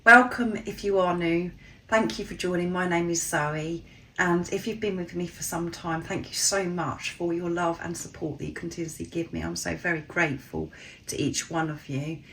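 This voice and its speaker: Woman, low and croaky from a cold, soft affirming card reader, faint hiss behind. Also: steady tone, normal speed, low-quality recording. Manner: gentle, affirming, addresses listeners as souls. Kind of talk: spiritual card reading to camera.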